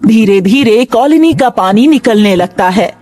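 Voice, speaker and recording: A woman's voice: on the high side, lively intonation, unhurried, dark tone. A woman narrator reading a scripted Hindi moral story. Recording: music behind the voice.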